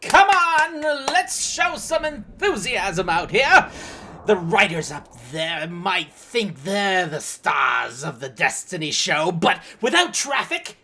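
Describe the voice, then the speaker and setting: A woman's voice: mid-range voice, sharp tone, heavily acted. A woman playing a peppy, demanding crew boss in an audio drama.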